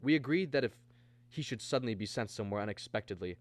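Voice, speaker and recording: An actor playing an anxious, insistent man in a radio drama, both narrating and speaking halting, repetitive lines. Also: mid-range voice, expressive, natural voice. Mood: agitated, insistent. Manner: halting, repeats words when agitated. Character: anxious, insistent man searching for his missing brother. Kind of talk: radio drama acting with first-person narration.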